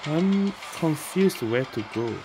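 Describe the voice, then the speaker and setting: A woman's voice: sits low, animated, slow pace, noisy background. A woman giving casual gameplay commentary in short murmured reactions, sometimes reading along. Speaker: gamer playing and reacting. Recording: loud game music behind voice.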